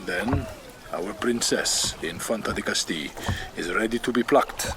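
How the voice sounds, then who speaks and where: An actor playing a courtly Spanish ambassador with a Spanish accent, insinuating and deliberate, in a period radio drama. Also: male voice, fairly low pitch, close to an everyday voice. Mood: persuasive. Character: smooth, persuasive Spanish duke and ambassador. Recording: noisy water ambience behind.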